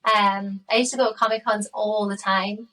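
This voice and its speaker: A woman's voice: medium pitch, little pitch movement, moderate pace, sharp tone, recorded from a distance, rough recording. A woman in her late thirties from the Newcastle area with a Geordie accent, chatting casually as a podcast guest. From Newcastle area, England. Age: late 30s. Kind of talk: casual chat.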